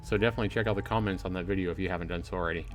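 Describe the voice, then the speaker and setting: A man's voice: sits low, fairly even intonation, rapid-fire, soft background music. A man hosting a casual livestream, chatting informally and in a friendly way, addressing viewers by name. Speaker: livestream host. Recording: clearly noisy home livestream.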